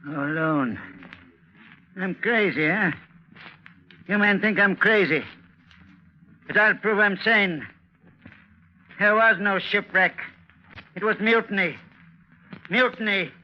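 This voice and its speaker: Shrill, crazed old sea captain. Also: male voice, slow, pauses often. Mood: frantic, accusing. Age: older. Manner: ranting, insistent, repeating his demands. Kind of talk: 1940s radio drama acting. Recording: dull, muffled 1940s radio recording.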